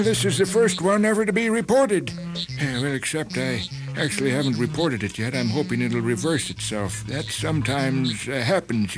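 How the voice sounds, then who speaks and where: An actor playing an uneasy, apologetic doctor in a radio drama, speaking hesitantly and haltingly. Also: male voice, on the high side, close to an everyday voice, poor audio.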